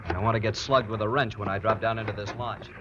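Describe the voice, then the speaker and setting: A man's voice: lowish voice, steady tone, quick pace, natural voice. An actor playing a cocky, cold gunman with a sneering, mock-friendly manner in an old-time radio drama. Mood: smug, wary. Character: cocky gun-wielding male villain, mocking and cold. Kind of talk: 1940s radio drama acting. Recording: muffled, noisy old radio recording.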